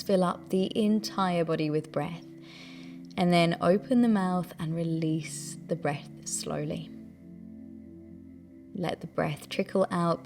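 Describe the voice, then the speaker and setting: A woman leading a guided breathing meditation, giving gentle, soothing instructions in a calm, unhurried way. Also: lowish voice.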